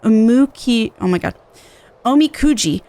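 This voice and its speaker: Higher-voiced woman streaming a game. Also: animated, moderate pace, dark tone. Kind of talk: reading game dialogue aloud, playthrough commentary. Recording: game music behind the voice. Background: video game music.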